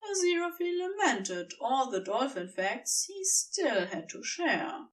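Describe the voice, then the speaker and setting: A woman narrates a story in a fairly high voice. Her delivery is unhurried and deliberate, with lively swings in pitch and dramatic pauses for effect. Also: recorded from a distance. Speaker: audiobook narrator. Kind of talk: expressive scripted fiction narration.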